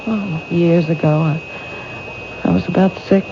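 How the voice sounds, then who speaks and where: A woman's voice: fairly low pitch, steady tone, measured pace. A hesitant, frightened woman. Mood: anxious. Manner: hesitant and doubting. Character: frightened, reluctant young woman stalked by a killer. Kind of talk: 1970s radio drama acting. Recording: muffled old radio broadcast with hiss.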